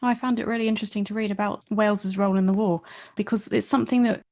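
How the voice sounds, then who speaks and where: A woman's voice: medium pitch, fairly even intonation, quick pace, darkish tone. A woman hosting an interview podcast, asking curious, conversational questions. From Britain. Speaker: podcast host.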